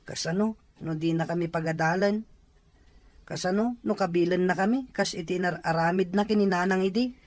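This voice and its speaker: A man's voice: high-pitched, moderate pace, no echo, natural voice. Man acting earnest, respectful school-age nephew. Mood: anxious. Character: grateful school-age nephew, wary of his absent father. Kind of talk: Ilocano radio drama acting.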